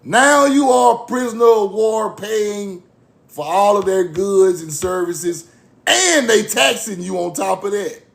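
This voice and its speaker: A man with a high, gravelly voice, talking bluntly and repeating his points. He swears freely and lectures with a preacher's push. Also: a little slow. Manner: blunt, repetitive, profane, preachy. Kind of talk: fiery informal lecture.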